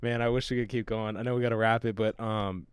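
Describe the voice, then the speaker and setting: A man's voice: on the low side, fairly even intonation, fast, smooth, no echo. A man in his mid-twenties hosting a podcast, casual and slangy, on a studio mic. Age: 20s. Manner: casual, affirming, uses slang. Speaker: podcast host. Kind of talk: podcast conversation. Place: small studio. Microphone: studio mic on boom arm, headphones.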